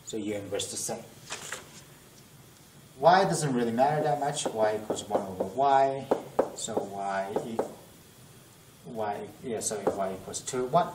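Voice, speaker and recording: A man's voice: on the low side, a little slow, pauses often. Young man tutoring in an echoey classroom. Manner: rambling, self-correcting, thinking aloud. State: strained, losing his voice. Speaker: math tutor. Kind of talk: casual whiteboard lesson. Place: classroom at a whiteboard. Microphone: camera-mounted mic at a distance. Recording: echoey room, distant camera mic, faint noise.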